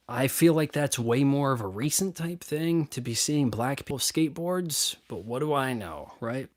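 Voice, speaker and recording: A man in his thirties talks off the cuff to his live-stream chat, his voice sitting at an ordinary middle pitch. He rambles from one thought to the next with crude, sarcastic asides. He often trails off and starts his sentences over.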